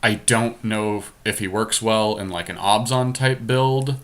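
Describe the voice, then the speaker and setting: A man's voice: on the low side, steady tone, measured pace. A man giving casual live commentary as he plays a card game draft, thinking aloud in a conversational, analytical way. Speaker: podcast host and player.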